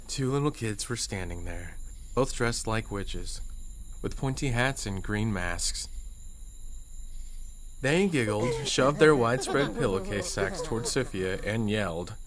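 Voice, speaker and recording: A man narrating a scripted first-person horror story in a measured storyteller's delivery. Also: fairly low pitch, lively intonation, crowd noise.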